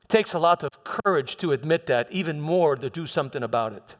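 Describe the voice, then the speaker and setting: A man playing a troubled Roman governor who recounts his past in a halting dramatic monologue, repeating words and pausing for emphasis. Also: fairly high pitch, lively intonation, fairly bright tone. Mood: grave, reflective. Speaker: church dramatist performing a monologue. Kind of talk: first-person dramatic monologue in church. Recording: echoey hall with long reverb.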